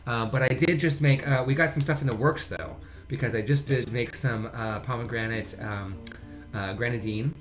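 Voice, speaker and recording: A man of average pitch chats as co-host of a livestream. His delivery is laid-back and rambling, with loose, trailing sentences. Guitar music sits in the background. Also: normal speed. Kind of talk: casual chat.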